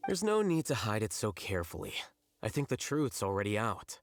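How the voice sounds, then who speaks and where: A man voicing a cool, condescending young scholar in an anime-style video game, teasing in a dry, deadpan way. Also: on the low side, fairly quick, no echo. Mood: coolly teasing. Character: cool, smug, unflappable young male scholar roommate. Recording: game dialogue with music behind.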